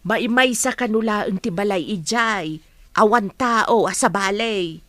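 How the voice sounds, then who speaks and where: In a dry recording, an actress plays a worried, soft-hearted mother who works as a maid. She has an Ilocano accent and a mid-pitched female voice, in radio drama style. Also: unhurried, no room sound, natural voice. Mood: cautioning.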